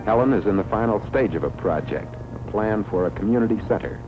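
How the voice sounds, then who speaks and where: A man with a low-pitched voice narrates a 1950s educational documentary. His delivery is scripted, measured and formal. Music plays clearly behind him on the vintage film soundtrack. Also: animated, poor audio.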